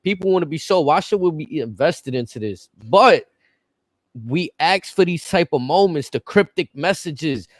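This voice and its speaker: A man's voice: a little high, animated, normal speed, dry recording. A man in casual podcast chat, blunt and profane, arguing his points. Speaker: podcast co-host.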